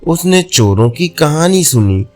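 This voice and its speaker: A man tells a folk tale in a scripted storytelling style. His voice sits a little high and moves with very lively intonation at a slow, measured pace. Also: faint music behind. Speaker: storyteller, narrator.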